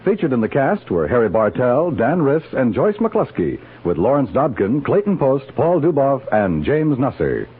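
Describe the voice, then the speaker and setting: A man's voice: medium pitch, sing-song, normal speed, hardly any pauses, slight background noise. An American man working as announcer, reading scripted credits and promos in 1950s network radio style. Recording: tinny old radio broadcast with loud music. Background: loud music.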